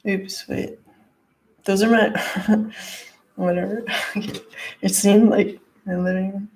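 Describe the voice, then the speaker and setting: A woman with a hoarse, gravelly voice talks through a tarot and crystal card reading from her living room. She speaks slowly, with plenty of "like" and "you know," and there is a rough fry under her mid-pitched voice. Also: steady tone, darkish tone, low-quality recording. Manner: rambling, lots of "like" and "you know". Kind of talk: casual spiritual card reading.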